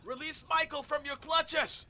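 A man's voice: extremely high pitch, little pitch movement, unhurried, sharp tone, full character voice. A man playing a pompous, paranoid gang leader in an audio drama, bossy and melodramatic with grandiose delivery. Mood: melodramatic alarm. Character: pompous, paranoid male gang leader rallying his followers.

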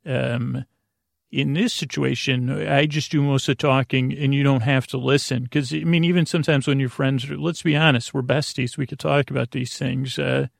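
An American man with a heavily creaky, gravelly voice hosts a bedtime podcast. He meanders through his monologue, stumbling over words and letting thoughts trail off. The sound is dry, with no room around it. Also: medium pitch, steady tone, moderate pace, no echo. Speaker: podcast host. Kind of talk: rambling bedtime monologue to help listeners sleep.

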